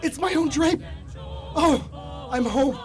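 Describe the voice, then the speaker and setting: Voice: sits high, slow, music in the background. A young adult performer playing an old repentant miser in a radio drama, theatrical and stammering. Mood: overjoyed.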